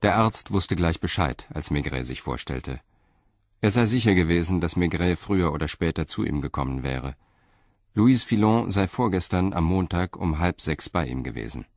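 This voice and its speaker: A man narrating a radio crime play in a calm, measured storytelling manner. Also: sits low, dry recording, studio quality. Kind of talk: scripted audio drama narration.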